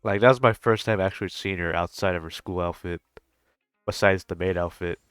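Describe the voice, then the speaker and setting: A man's voice: sits low, fairly even intonation, fairly quick, a bit bright, smooth, no room sound, clean studio sound, soft background music. A man giving casual, rambling reaction commentary on a video game as a YouTuber, informal and sometimes swearing.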